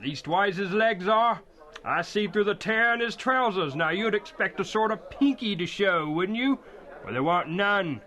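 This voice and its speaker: An actor playing a gossipy, grumbling working-class country carter with folksy speech in an audio drama. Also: male voice, sits high, lively intonation, moderate pace, pushed and bright. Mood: gossipy, insistent. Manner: folksy, grumbling, dialect grammar. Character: gruff rustic male carter, gossipy and grumbling, older working man.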